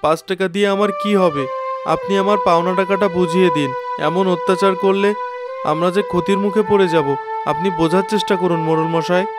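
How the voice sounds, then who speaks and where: A man's voice: sits high, steady tone, a little fast, lightly acted. A man voicing an earnest, worried poor village shopkeeper and father in a cartoon moral story. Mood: pleading. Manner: earnest, pleading, polite. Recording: music behind the voice.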